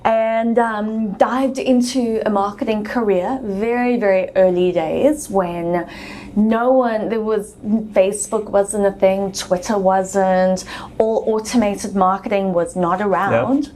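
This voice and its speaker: A woman's voice: mid-range voice, fairly even intonation, takes it slowly, a little mellow, a little hiss or hum. A woman in her thirties with a South African accent, telling her story as an interview guest in a chatty way with frequent ums.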